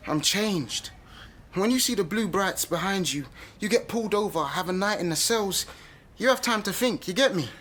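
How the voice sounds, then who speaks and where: Cocky, high-voiced young London man. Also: expressive, normal speed, a bit bright, slight background noise. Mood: wheedling, cocky. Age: young adult. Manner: cocky, slangy, argumentative. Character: cheeky, slangy young man, professor's wayward son. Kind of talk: radio drama acting, street slang.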